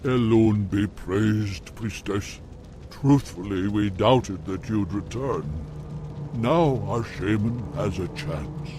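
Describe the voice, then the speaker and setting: A man's voice: lowish voice, slow, background noise. An actor playing a worried male tribesman pleading for help in a game cutscene. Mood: grateful. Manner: pleading, earnest. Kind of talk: video game cutscene acting. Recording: game audio with music underneath.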